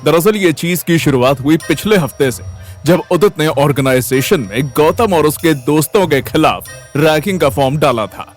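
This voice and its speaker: A man narrates a scripted audio story. His voice is a little higher than average for a man. Quiet music plays underneath. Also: lively intonation, moderate pace.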